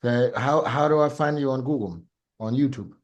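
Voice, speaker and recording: A man's voice: mid-range voice, normal speed. A man hosting a podcast, asking short questions with stammered restarts. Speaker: podcast host. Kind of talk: casual interview talk.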